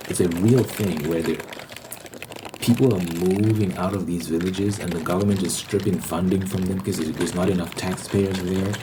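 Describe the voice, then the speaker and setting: Casting: man, low-pitched, ASMR rambler, explanatory and opinionated, repeats himself for emphasis, noticeable background noise. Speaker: host of a rambling ASMR channel.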